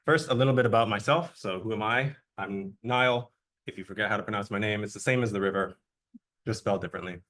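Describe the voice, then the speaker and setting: A young man from Jamaica giving a relaxed, conversational academic seminar talk as a guest researcher. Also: on the low side, fast. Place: lecture room.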